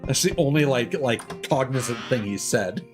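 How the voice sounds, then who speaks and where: A man's voice: mid-range voice, lively intonation, normal speed. A man doing casual, joking, sweary commentary on a gaming livestream. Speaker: game streamer. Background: game music.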